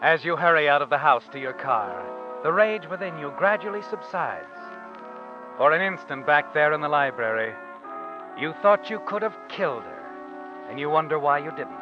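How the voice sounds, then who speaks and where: An actor playing an ominous, knowing storyteller, narrating in the second person in 1940s radio suspense style. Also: male voice, fairly high pitch, expressive, normal speed, natural voice. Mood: ominous, intense. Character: ominous, knowing storyteller addressing the guilty man. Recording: muffled old radio recording. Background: dramatic music.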